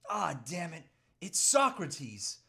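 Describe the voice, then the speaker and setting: Man acting an earnest, high-pitched troubled husband. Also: wildly swooping pitch, slow, gravelly, pushed and bright, breathy edge, exaggerated voice. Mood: pleading, self-coaxing. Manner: earnest, hesitant, pleading. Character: troubled husband and father resisting temptation, earnest, self-pitying. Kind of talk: live table read of a movie script.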